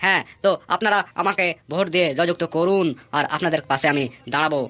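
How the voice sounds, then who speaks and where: A woman's voice: on the low side, fast, fairly bright tone, close to an everyday voice. A woman voicing a boastful, scheming male election candidate in a comic cartoon, speechifying in a blustering, repetitive way. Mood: boastful, promising.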